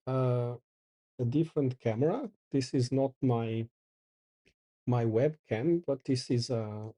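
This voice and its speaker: Middle-aged man host, husky and unhurried, talking himself through commands. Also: medium pitch, dark tone. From Porto, Portugal. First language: Portuguese. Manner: thinks aloud while reading out commands. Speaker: channel host. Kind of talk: casual live coding commentary.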